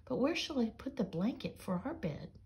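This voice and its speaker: Woman reading as an agreeable, creaky storybook dog. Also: a little high, big pitch swings, normal speed, a little mellow, pitched above the performer's own voice. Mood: wondering. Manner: agreeable, homely. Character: agreeable male dog, settling happily into a new home.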